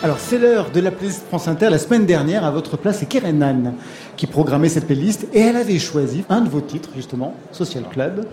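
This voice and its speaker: A man's voice: fairly high pitch, lively intonation, fairly quick, roomy sound. A man hosting a radio music interview show. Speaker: radio host.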